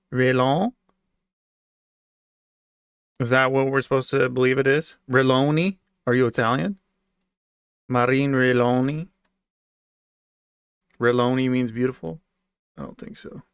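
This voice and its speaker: American man chatting casually, creaky voice. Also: mid-range voice, no room sound, clean studio sound. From United States, raised moving around in a military family. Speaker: livestream host answering viewer comments. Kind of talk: casual off-the-cuff chat with viewers.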